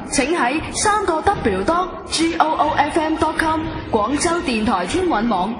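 A woman reading a scripted radio station announcement. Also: sits high. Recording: echoey long reverb, music behind.

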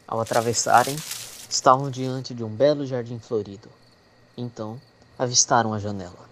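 A man's voice: mid-range voice, steady tone, moderate pace, low-quality recording. A man reading scripted, dramatic narration as the narrator of a radio soap opera. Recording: faint music behind narration.

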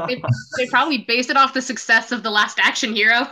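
Bright, forceful high-voiced young woman. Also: steady tone, quick pace. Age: young adult. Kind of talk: amateur script reading and casual chat. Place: room at home on a video call.

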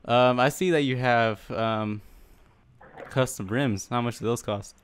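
A man with a mid-pitched voice plays prank caller. He chats casually and asks his questions with a straight, deadpan delivery. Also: a little slow, clear, resonant tone. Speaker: prank caller, gaming channel host. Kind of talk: casual prank phone call.

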